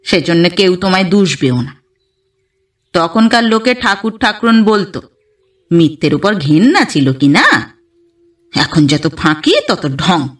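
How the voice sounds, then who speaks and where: A woman's voice: lowish voice, sing-song, measured pace, pauses often, far from the mic. A woman narrator playing a stern, irritated older mother-in-law in an audio story, curt, reproachful and self-righteous. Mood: irritated scolding.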